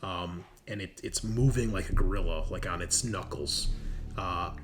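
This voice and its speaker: A man's voice: deep voice, normal speed, a bit bright, slight background noise. A man running a tabletop game, explaining rules and describing scenes in a casual, profane, teasing way. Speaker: game master. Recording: music playing behind the voice. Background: music.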